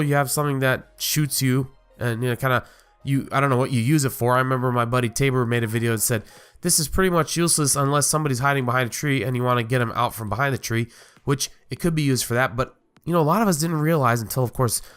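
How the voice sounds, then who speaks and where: A man's voice: medium pitch, fairly bright tone, smooth. A man hosting a casual gaming commentary video, sounding nasal from a cold and rambling with lots of fillers and restarts. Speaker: gaming YouTuber host.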